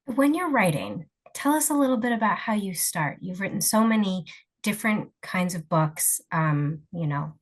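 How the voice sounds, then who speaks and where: A woman's voice: mid-range voice, expressive, normal speed. A woman librarian moderating a webinar interview over a video call, asking encouraging questions.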